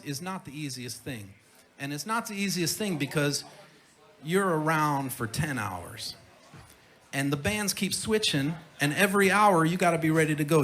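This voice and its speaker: A man with a fairly high, forceful voice addresses a festival crowd from the stage. He is informal and earnest, urging people on and repeating himself for emphasis. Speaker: festival stage speaker, former emcee.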